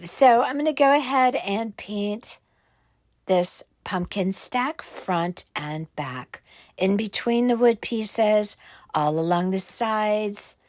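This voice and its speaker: Slow, raspy woman with a cold. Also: medium pitch, no room sound, studio quality. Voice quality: raspy, croaky. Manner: slow, explanatory, with fillers and self-corrections. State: hoarse from a cold. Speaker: craft tutorial host. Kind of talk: step-by-step craft tutorial voice-over.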